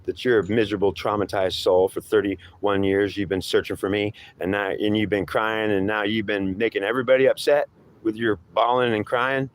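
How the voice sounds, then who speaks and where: A man's voice: fairly low pitch, fairly even intonation, normal speed, close to an everyday voice. A man acts out a horned devil who passes himself off as God. He taunts and threatens in a scornful lecture, circling back to goad again and again in a homemade dramatic dialogue. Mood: mocking, stern. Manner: scornful, goading, repetitive lecturing.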